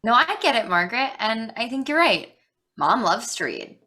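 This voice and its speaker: A woman's voice: a little high, sing-song, moderate pace, sharp tone. A woman playing an eager, bookish teenage granddaughter in a staged play reading, speaking earnestly. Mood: earnest, curious.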